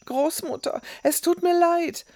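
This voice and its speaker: Woman voicing an eager, high-pitched young boy. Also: very animated, unhurried, a little mellow. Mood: breathless, apologetic. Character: young boy, eager, apologetic, defensive grandson.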